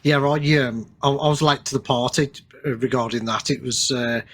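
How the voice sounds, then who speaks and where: A man hosting a casual interview podcast, talking haltingly and repeating his words as he goes. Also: medium pitch, fairly even intonation, fairly bright tone. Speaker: podcast host.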